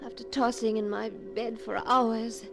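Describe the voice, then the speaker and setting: A woman's voice: a little high, flat delivery, measured pace. A woman acting an anxious, devoted wife in an eerie 1940s radio melodrama, theatrical and earnest. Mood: suspenseful. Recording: vintage 1940s radio recording with music.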